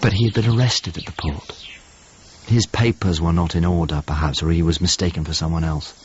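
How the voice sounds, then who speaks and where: An actor playing a thoughtful painter's model, narrating a radio drama in measured, descriptive phrases. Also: male voice, low-pitched, steady tone, a little mellow, slightly rough. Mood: wistful recollection. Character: thoughtful male painter's model grieving a lost friend. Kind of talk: radio drama, reflective first-person narration. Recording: muffled audio with music underneath. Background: music.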